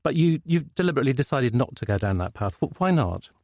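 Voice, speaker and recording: A man's voice: medium pitch, sing-song, fast, darkish tone, no room sound. Male interviewer, dry studio-like sound. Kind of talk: considered interview questions.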